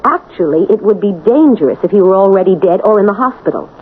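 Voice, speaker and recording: A woman's voice: mid-range voice, lively intonation, lightly acted. Insistent woman doctor played by an actress, muffled recording. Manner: deliberate, logical, pressing. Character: methodical, insistent woman doctor helping investigate. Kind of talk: radio drama acting. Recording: muffled old radio recording, faint hiss.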